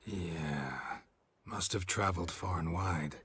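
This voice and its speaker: Game casting: a laconic, protective monster hunter, middle-aged, gruff, dry and exceptionally low-pitched. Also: male voice, takes it slowly, fairly bright tone, slight background noise. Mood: dry, businesslike. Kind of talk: video game character acting.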